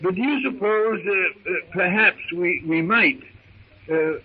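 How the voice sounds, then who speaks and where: An actor playing a polite, hesitant captain with formal, hemming speech in a 1930s radio serial. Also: male voice, sits high, unhurried, slightly rough, full character voice. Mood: sheepish, hesitant. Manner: hemming and hawing, formal diction. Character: polite, awkward, hesitant captain, professor's companion. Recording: tinny, narrow-band 1930s radio recording.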